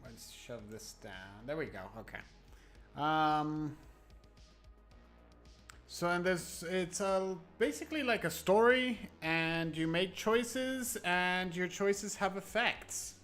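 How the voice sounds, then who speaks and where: A man's voice: high voice, animated, takes it slowly, a bit bright. A man hosting a livestream, chatting casually with viewers in a rambling, stop-start way over background music. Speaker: live streamer and host. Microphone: new close microphone, no webcam.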